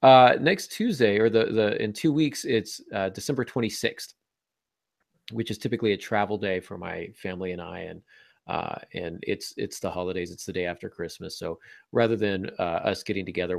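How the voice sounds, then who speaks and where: A man's voice: low-pitched, vocal fry, no echo, clean studio sound. A man hosting a podcast in a casual, chatty way, sounding congested and a little under the weather. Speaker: podcast host.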